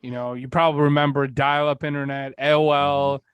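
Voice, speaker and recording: A man's voice: a little high, level tone, a little fast, smooth, no echo, lo-fi sound. A man in his forties, originally from Bulgaria, telling his story as a podcast guest in a candid, rambling way, with many fillers. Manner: candid, rambling, with frequent fillers and occasional swearing. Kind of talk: casual interview storytelling.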